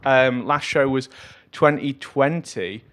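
A man chatting casually and comically as an interview guest, with a rambling, animated, self-mocking manner. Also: medium pitch, slow pace. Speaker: musical comedian, interview guest.

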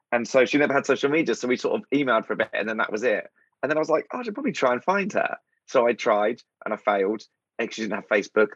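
A man's voice: fairly low pitch, no echo, lo-fi sound. A British man in his thirties, a stand-up comedian chatting casually as an interview guest, joking dryly at his own expense. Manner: self-deprecating, dry joking.

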